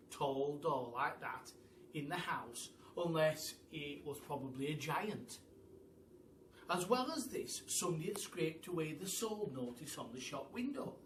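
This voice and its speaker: Man reading a story in an echoey room. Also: a little high. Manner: theatrical, with dramatic pauses and emphasis. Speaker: teacher reading a children's story aloud. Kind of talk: animated storytime reading in a child narrator's voice. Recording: roomy, distant mic with faint background noise.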